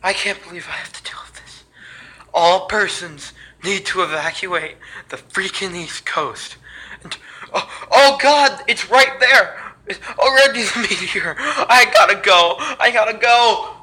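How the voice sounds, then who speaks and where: A man acts out an exasperated, panicky male news reporter in an amateur disaster drama. The voice is high and very bright, with agitated, grumbling exclamations. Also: a little slow, poor audio. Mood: panicked.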